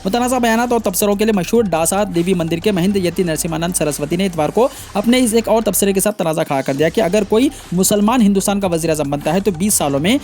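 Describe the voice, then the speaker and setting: A man's voice: sits high, fast talker, hardly any pauses, a little mellow. A man reading scripted news in a formal manner, delivering headlines and stories as a news reader.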